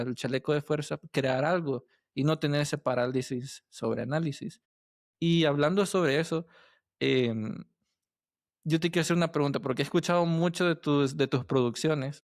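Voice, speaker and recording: A man's voice: fairly high pitch, no echo. Guy doing a casual podcast interview, searches for words a lot, really dry close sound. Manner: halting, searching for words, tag questions.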